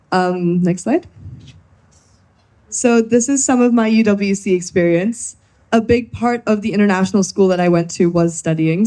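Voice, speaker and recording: A young woman from Kenya speaks to a room of listeners at a workshop. Her voice sits mellow and dark in tone, at a middling pitch. She keeps it friendly and playful, like a quiz host. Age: young adult. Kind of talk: presenting to a live audience.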